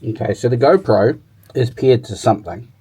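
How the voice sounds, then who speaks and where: A man's voice: medium pitch, normal speed, mellow, breathy edge. A man talking informally and thinking aloud as he gives a casual hands-on demo and review of gear. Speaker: motorcycle tech reviewer.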